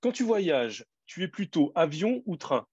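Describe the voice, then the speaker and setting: A man who teaches French, hosting an interview and asking quiz questions clearly and encouragingly. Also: a little high, big pitch swings, unhurried, a little mellow, dry recording, highs cut off. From France.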